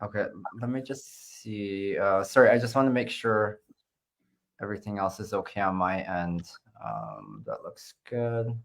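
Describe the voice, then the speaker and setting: A man from Canada talking casually and haltingly as an interview guest, through a laptop on a video call. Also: on the low side, expressive, creaky. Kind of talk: casual self-introduction and chat.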